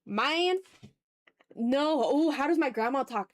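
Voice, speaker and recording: A woman's voice: high voice, lively intonation, takes it slowly, no room sound. A woman from the American South chatting casually on an art livestream, self-deprecating and playfully exasperated. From Southern United States. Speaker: streamer. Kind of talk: casual livestream chat while drawing.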